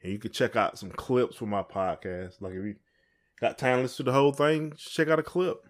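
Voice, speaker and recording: A man's voice: mid-range voice, brisk. A man with a Southern American accent and a middle-range voice. He co-hosts a casual podcast and talks in a folksy, playful way, rambling as he goes. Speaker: podcast co-host. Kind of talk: casual chat.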